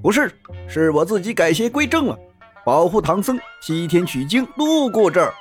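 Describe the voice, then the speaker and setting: A man's voice: high-pitched, very animated, normal speed, soft background music. A man playing a cocky, combative monkey king hero in a dramatized storybook, bold and taunting. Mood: proud. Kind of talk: dramatized storybook character acting.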